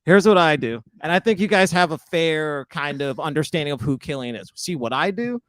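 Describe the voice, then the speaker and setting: A man's voice: a little high, animated, a little fast, fairly bright tone, no echo. A young man co-hosting a comedy podcast, joking around and teasing in casual slang. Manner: playful, teasing, laughs often, says dude and bro. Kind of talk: casual chat.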